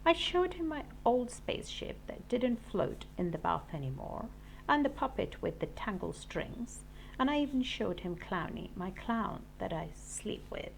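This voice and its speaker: A woman teacher reading a children's storybook aloud in an expressive, deliberate way. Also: medium pitch, gravelly, a little hiss or hum, low-quality recording. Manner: animated, deliberate storytelling for young listeners. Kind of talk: expressive children's audiobook narration.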